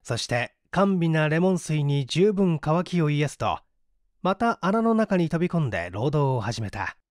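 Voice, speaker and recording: A man narrating a mystery story as an audiobook, with an expressive, dramatic delivery. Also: on the high side, measured pace, dry recording, clean studio sound. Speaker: audiobook narrator, stage actor.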